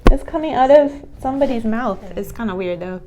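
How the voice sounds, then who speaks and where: A woman's voice: fairly high pitch, lively intonation, a little fast, noisy background. A woman teacher reading a story aloud to young children, explaining words in simple phrases and asking questions.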